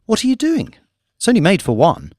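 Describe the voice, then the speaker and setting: A man's voice: high-pitched, wildly swooping pitch, brisk, a little mellow, no echo, clean studio sound, pitched above the performer's own voice, exaggerated voice. A man voicing a bewildered, anxious fiancé in an audiobook thriller, with a flustered, questioning manner.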